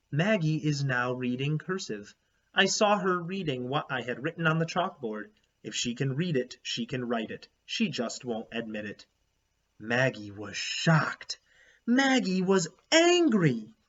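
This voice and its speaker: A man with a fairly high voice reads a children's book aloud in an expressive teacherly style. He leans on key words and speaks directly to young listeners. The recording is a little dull and lo-fi. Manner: animated, emphatic storytelling with questions to listeners.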